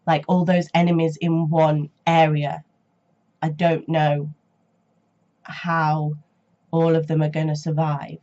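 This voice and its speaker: Woman, young adult, congested from a cold, sore throat, low voice, rambling with ums, dull lo-fi recording. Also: little pitch movement, slow pace, pauses often, warm tone. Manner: rambling and hesitant, many ums and restarts. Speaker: reaction channel host. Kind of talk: casual reaction vlog chat.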